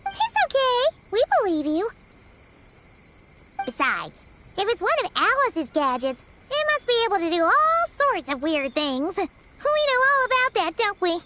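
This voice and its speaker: A woman voicing a tiny, excitable, cheeky childlike fairy companion in a video game, with a squeaky, blunt, chatty delivery. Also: measured pace, background noise, full character voice. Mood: cheerful. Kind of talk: animated video game acting.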